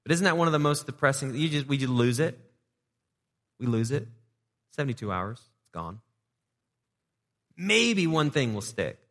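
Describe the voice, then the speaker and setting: A man preaches in an easygoing, conversational way, tossing playful asides to the people in front of him. His voice sits at an ordinary middle pitch. The sound carries a long reverb from a large church hall. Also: sing-song, normal speed, pauses often, a bit bright. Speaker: pastor. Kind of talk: sermon. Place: church sanctuary.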